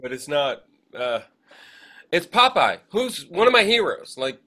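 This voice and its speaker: Male co-host, fairly high voice with a bright, sharp edge, chatty storyteller who acts out quotes. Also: big pitch swings, measured pace. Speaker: podcast co-host. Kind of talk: casual anecdotal chat.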